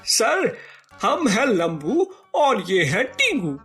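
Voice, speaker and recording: Cartoon comedy sidekick, a cowardly simpleton; slow, whiny, very animated, stammers when frightened. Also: mid-range voice, slightly rough, faint music behind, heavily acted. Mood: eager, naive. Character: Lambu, dim, cowardly, strong male sidekick. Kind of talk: exaggerated Hindi cartoon comedy acting.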